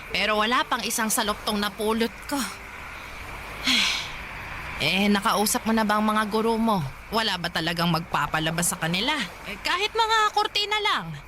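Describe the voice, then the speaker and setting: A woman playing a weary, caring old grandmother in a Filipino radio drama, with a sighing, plaintive, halting delivery. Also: mid-range voice, animated, lightly acted. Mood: weary, troubled. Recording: music bed behind the voice.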